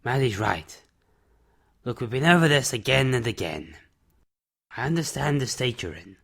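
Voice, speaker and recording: A man playing an older reclusive character in an amateur audio drama, calm, firm and fatherly, speaking in terse, measured phrases. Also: mid-range voice, creaky, husky, exaggerated voice. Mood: reassuring, steady.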